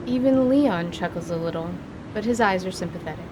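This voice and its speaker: Low-pitched woman narrating a story. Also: lively intonation, brisk, background noise.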